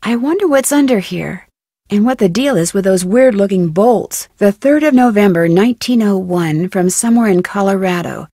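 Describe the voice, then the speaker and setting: A young woman with an American accent playing a curious, upbeat teenage girl detective in an adventure game, speaking in a clear, inquisitive way. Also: medium pitch, animated, normal speed, mellow, no echo, studio quality. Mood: puzzled, curious, then reading aloud. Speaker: video game voice actor. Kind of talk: scripted adventure game lines, thinking aloud.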